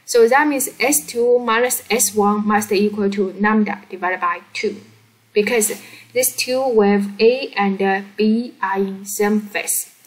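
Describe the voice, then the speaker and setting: Slow-talking woman teaching physics, non-native English. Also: medium pitch, poor audio. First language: not English. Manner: halting, with frequent check questions to the learner. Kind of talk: informal step-by-step explanation of a worked problem.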